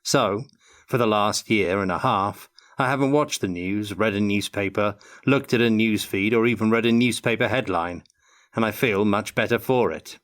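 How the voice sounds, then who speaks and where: An older man giving measured, articulate answers as an interview guest in a prepared Q&A. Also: lowish voice, fairly bright tone. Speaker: interview guest, tabletop game designer.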